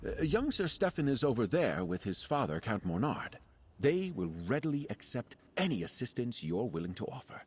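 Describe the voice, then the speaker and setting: An actor playing an earnest, concerned relief leader in a video game, speaking formally and politely. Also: male voice, medium pitch, sing-song, normal speed, darkish tone, breathy edge. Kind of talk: video game character dialogue.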